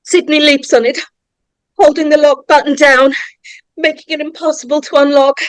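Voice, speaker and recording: A woman narrator reading horror screenplay stage directions in a dramatic, suspenseful way, with deliberate pauses. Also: sits high, level tone, no echo. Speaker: narrator of a script table read.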